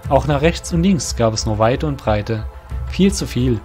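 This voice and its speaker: A man tells a fantasy story as its narrator. His voice sits at a middle pitch and his phrasing is formal and bookish. Quiet music runs underneath. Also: expressive, a little slow.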